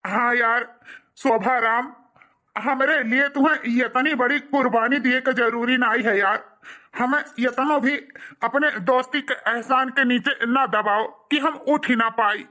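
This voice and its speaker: A man's voice: very high voice, measured pace, bright, forceful tone, heavily acted. A man voicing a humble, injured village father in a cartoon, with an Awadhi accent and a sentimental, pleading delivery. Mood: reluctant, humble.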